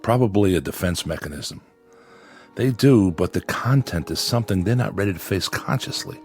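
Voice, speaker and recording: A man playing a calm, skeptical psychiatrist in a radio drama, speaking in a measured, clinical, reassuring manner. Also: low-pitched, soft background music.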